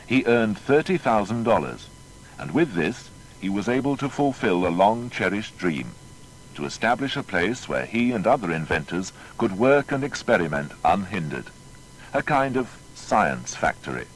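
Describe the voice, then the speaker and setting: A man's voice: big pitch swings, normal speed, rough recording. A man narrating a scripted documentary biography in a formal, storytelling manner. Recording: faint noise with low rumble.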